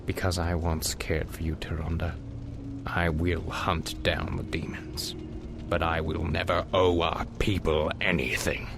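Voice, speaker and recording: An actor playing a brooding, proud male elf exile in a video game cinematic, with a hoarse, whispery delivery. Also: low-pitched, little pitch movement, unhurried, very noisy. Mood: bitter.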